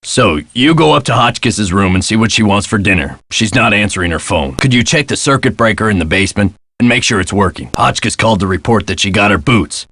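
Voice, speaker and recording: A man playing a gruff, world-weary middle-aged American caretaker in a video game, guarded and folksy in a clipped way. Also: lowish voice, animated, a little fast, hardly any pauses, a bit bright, no echo. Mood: brisk. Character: gruff world-weary male castle caretaker, guarded about his past.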